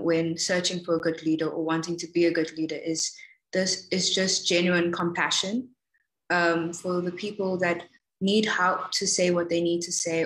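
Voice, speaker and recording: A nineteen-year-old woman student on a video call, speaking hesitantly with frequent pauses. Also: fairly low pitch. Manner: hesitant, many ums. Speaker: student panelist. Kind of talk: panel discussion on a video call. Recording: echoey room on a video call.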